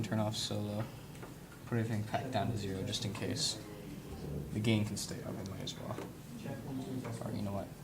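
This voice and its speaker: A man with a fairly low voice talks through a hands-on equipment demonstration. He is informal and halting, often thinking aloud as he works. The recording is very noisy. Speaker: instructor demonstrating audio equipment. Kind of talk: casual hands-on tutorial. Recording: clearly noisy room recording with handling sounds.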